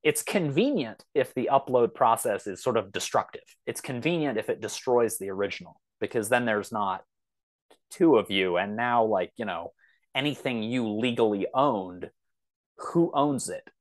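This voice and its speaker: A man in his thirties talking casually as a podcast guest, thinking aloud in a halting, thoughtful way. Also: mid-range voice, dry recording.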